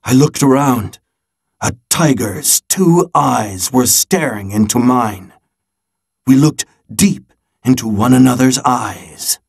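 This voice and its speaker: Man reading as husky, slow-spoken old seafaring grandfather. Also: medium pitch, exaggerated voice. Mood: suspenseful, dramatic. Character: old grandfather telling his seafaring adventure tale.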